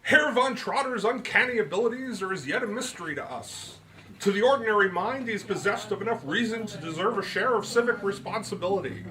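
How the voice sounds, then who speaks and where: Man playing pompous, high-pitched blustering gentleman. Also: expressive, brisk, a little hiss or hum, rough recording. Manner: pompous, declamatory, grandiloquent. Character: large, pompous, florid male animal-rights league leader.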